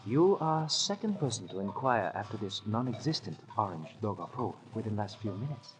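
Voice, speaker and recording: A man's voice: lowish voice, sing-song, normal speed. A man playing a courteous Chinese curio dealer in an old radio drama, speaking in ceremonious, flowery broken English. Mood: sly, measured. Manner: ceremonious, flowery, article-dropping speech. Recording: noisy old radio recording.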